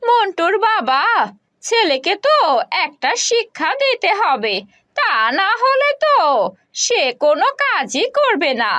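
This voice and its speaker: A woman playing a sarcastic, dramatic village mother from a folk-tale cartoon, scolding in drawn-out phrases. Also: extremely high pitch, sing-song, sharp tone, dry recording, full character voice. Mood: exasperated.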